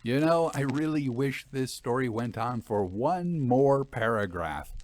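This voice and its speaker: A man's voice: medium pitch, lively intonation, unhurried, darkish tone, slight background noise. A man hosting a story podcast, talking to listeners in a conversational, musing way with rhetorical questions. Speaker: podcast host and anthology editor.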